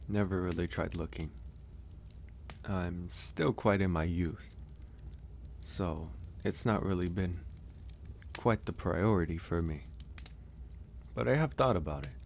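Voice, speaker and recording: Man acting as young male werewolf recluse, deep voice, slow hesitant delivery, gentle, intimate ASMR roleplay, faint background noise. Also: vocal fry. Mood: bashful. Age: young adult. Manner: unhurried, hesitant, reassuring.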